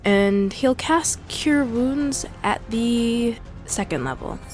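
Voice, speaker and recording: A woman's voice: a little high, slow, a little mellow. A woman playing a tabletop game, describing what the character does. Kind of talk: narrating own character's actions. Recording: faint music behind the voice.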